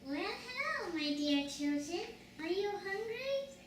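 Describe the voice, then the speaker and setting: A woman's voice: very high-pitched, sing-song, slow, full character voice. A woman voicing a mean, greedy old witch, wheedling and menacing, in a live amateur children's puppet show. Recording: echoey room, distant mic, noisy.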